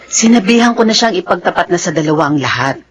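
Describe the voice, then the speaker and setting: A chatty, well-meaning Filipina confidante in a radio drama. Her husky voice rises and falls freely in expressive conversation. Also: fairly low pitch, fast talker, lo-fi sound. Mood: thoughtful.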